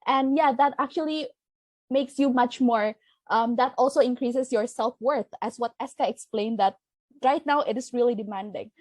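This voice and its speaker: Earnest woman, slightly high voice. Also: moderate pace, a little mellow, no echo. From Indonesia. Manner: earnest, explanatory, reasoning step by step. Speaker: discussion panelist. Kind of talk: debate-style discussion.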